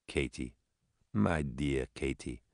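A man reading an audiobook voices a proud imprisoned mother who speaks in formal, measured sentences. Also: low voice, lively intonation, fairly bright tone, no echo, clean studio sound. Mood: soft. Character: proud imprisoned mother on trial, older woman, righteous and unbending.

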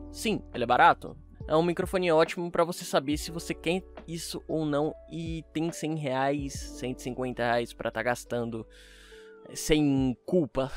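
A man with a Brazilian accent giving a casual, chatty voice-over review to his online viewers. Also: mid-range voice. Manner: informal, chatty. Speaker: YouTube creator and fan dubber. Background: music.

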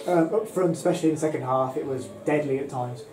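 A man's voice: on the high side, quick pace. A man interviewing a football manager after a match, opening most questions with um. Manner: opens questions with um. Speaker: club media interviewer. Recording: echoey room with light hiss.

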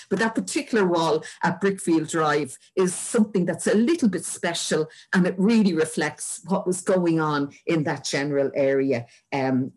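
An older Irish woman presents local history as an informal illustrated lecture. Her voice sits fairly low and has a husky grain. She speaks from home into a webcam on a video call. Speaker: local historian giving a talk. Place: indoors at home.

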